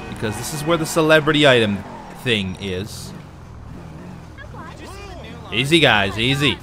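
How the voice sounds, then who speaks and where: A man's voice: mid-range voice, slight background noise. Chatty man gaming with a cold. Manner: chatty, excitable, talks to viewers. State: has a cold, a bit nasal. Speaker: let's play host. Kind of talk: casual gameplay commentary.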